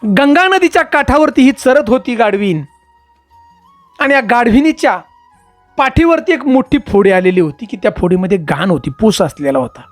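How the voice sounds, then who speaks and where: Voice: medium pitch, dry recording, natural voice. A storyteller voicing a patient, learned male astrologer, explaining past-life karma emphatically and repetitively.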